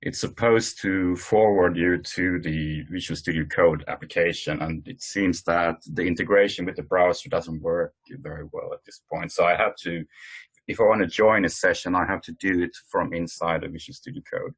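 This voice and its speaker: A man presenting informally in an online work meeting, speaking hesitantly with frequent pauses. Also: sits low, steady tone, husky. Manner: hesitant, halting delivery with many pauses. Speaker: meeting host presenting tools. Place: online conference call.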